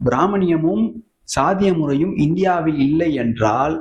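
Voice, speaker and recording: Tamil nadu guy doing scornful political commentary, slightly high voice, combative and colloquial, roomy echo. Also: expressive, unhurried, darkish tone, muffled recording. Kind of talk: opinionated political commentary to viewers.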